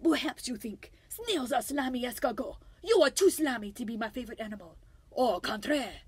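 A woman's voice: fairly high pitch, animated, normal speed, husky, voice pushed up. A woman voicing a silly, vain storybook snail with an exaggerated mock French accent and a pompous, theatrical manner. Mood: boastful. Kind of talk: storybook read-aloud character.

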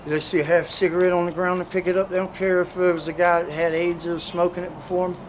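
Street interviewee, man, slightly high pitch, earnest and preachy, traffic noise behind. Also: level tone, fast talker, low-quality recording. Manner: preachy, earnest, fillers like "you know". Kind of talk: street interview, casual storytelling.

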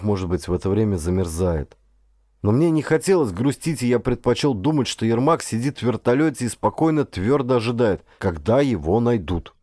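A man's voice: medium pitch, a little mellow, no room sound, clean studio sound. A man reading a first-person adventure story as an audiobook narrator, in a scripted literary style.

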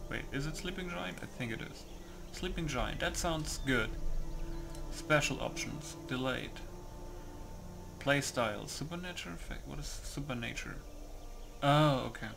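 A man with a mid-range voice talks casually through a game, thinking aloud as he goes. He mutters hesitantly to himself, with music clearly playing behind him. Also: medium pitch, measured pace. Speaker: gamer hosting a playthrough.

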